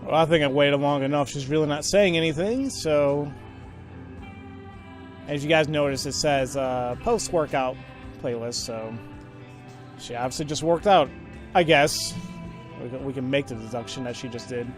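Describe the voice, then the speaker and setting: A man's voice: a little high, normal speed, long pauses. Man musing over a video game, music behind. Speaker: let's play host. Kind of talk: casual game commentary, reading in-game text aloud.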